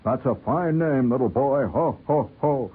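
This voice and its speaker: Man putting on a booming jolly Santa, husky. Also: unhurried. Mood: hearty, patronizing. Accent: American. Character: booming, hearty, jolly department-store Santa Claus. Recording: thin phone-like old radio broadcast.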